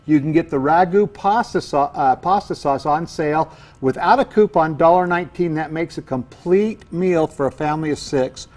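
A middle-aged man with an American accent and a fairly high-pitched voice. He talks like an enthusiastic pitchman, chatting through deals one by one as the expert on a TV segment. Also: normal speed, few pauses, a little mellow. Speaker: grocery savings expert on a TV segment. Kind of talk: chatty deal-by-deal TV presentation. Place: TV kitchen set, indoors.

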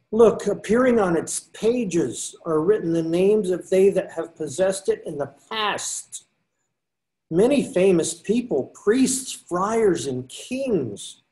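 A man's voice: sits high, warm tone, full character voice. An older man reading the part of a pious friar who loves books, earnest and pleading, in a video call reading. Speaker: reader in readers theater. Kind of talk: amateur readers theater over video call. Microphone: video call webcam.

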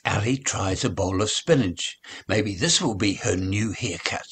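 An older man reading a children's story aloud, with expressive, deliberate phrasing. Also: on the low side, husky. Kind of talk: children's read-along story narration.

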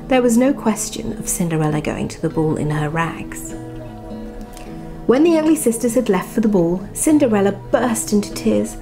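A woman reading a bedtime storybook softly and expressively, with chatty asides about the pictures. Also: mid-range voice. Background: music.

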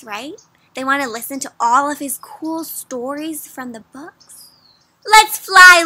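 Bright, high-voiced young woman reading to kids. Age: young adult. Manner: animated, addresses listeners with frequent questions. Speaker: children's story reader, host. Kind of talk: interactive picture-book read-aloud for kids. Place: outdoors under trees.

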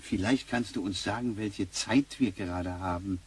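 A man's voice: lowish voice, moderate pace, husky. A man playing an anxious, kindly grandfather from the future, flustered and polite, in a radio play. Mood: apologetic.

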